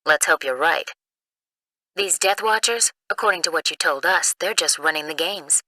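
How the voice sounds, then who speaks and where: An actress playing an earnest, businesslike intelligence analyst in a video game, crisp and matter-of-fact. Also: female voice, low-pitched, moderate pace, sharp tone, dry recording, low-quality recording. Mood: concerned, businesslike. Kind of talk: video game cutscene acting.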